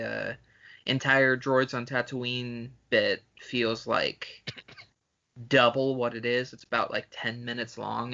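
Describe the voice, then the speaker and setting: Male podcast host, bright forceful tone, creaky fry, casual and emphatic, swearing freely. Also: mid-range voice, lo-fi sound. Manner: profane, emphatic. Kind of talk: casual film podcast chat.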